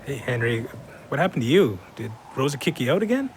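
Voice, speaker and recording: A man's voice: medium pitch, big pitch swings, a little fast, background noise, lightly acted. An actor playing an awkward, kindly male cousin in an audio drama, with a hesitant, colloquial way of talking. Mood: casual, concerned.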